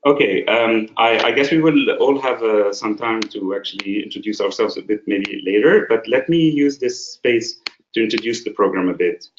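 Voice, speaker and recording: Male academic presenting a webinar, halting delivery full of fillers, echoey room, muffled lo-fi sound. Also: fairly low pitch. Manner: halting, frequent filler sounds, poses rhetorical questions. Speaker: associate professor and program coordinator. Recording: roomy, dull lo-fi webinar audio.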